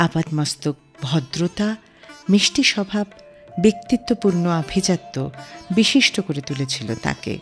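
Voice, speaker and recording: A woman presenting a radio show in scripted narration. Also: mid-range voice. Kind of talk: scripted narration about a singer's career. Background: faint music.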